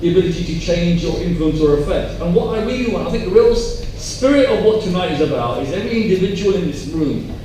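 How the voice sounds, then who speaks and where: A man with a high voice addresses a room from away from the mic, with strong echo around him. He sounds earnest and emphatic, building his points in repeated phrases as in closing remarks at a talk. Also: animated, fast, few pauses, mellow. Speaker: panel speaker. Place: large echoey indoor event room. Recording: very echoey room, distant mic, faint noise.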